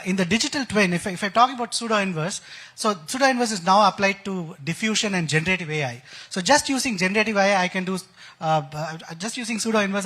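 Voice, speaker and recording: Male Indian-accented expert, high voice, keen explainer with plenty of jargon, conference interview. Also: a bit bright. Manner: enthusiastic, uses analogies and technical jargon. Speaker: expert guest, educator. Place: conference stage.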